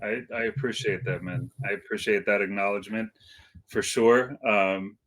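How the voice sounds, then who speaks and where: Low-voiced, reflective middle-aged man. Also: fairly even intonation, normal speed, a bit bright, rough recording. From Iceland, moved to California at seven. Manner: hesitant, reflective, rambling with slangy asides. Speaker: guest, hip hop DJ. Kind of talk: casual interview chat.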